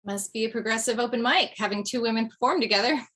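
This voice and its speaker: A woman's voice: on the high side, fast talker, a bit bright, lightly acted. A woman playing a self-deprecating mother returning to stand-up, delivering wry and hesitant lines in a table read. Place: video call from home.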